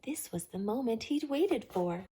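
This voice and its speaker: A woman reading a children's storybook aloud as host, with an expressive, theatrical, rhythmic delivery. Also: on the high side, unhurried, faint music behind.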